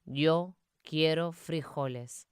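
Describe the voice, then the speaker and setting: A woman's voice: low-pitched, slow pace, clear, resonant tone, no echo, clean studio sound. A woman voicing a male Chihuahua who leads a small band, in a somber, declaiming manner. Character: solemn male Chihuahua bandit leader, biggest of the small.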